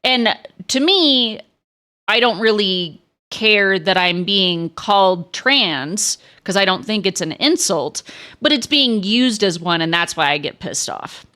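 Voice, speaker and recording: A young woman with a crackly, lower voice, chatting frankly with stream viewers and swearing freely. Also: lively intonation, slow pace, sharp tone. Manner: frank, swears a lot, many restarts. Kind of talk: casual chat to stream viewers.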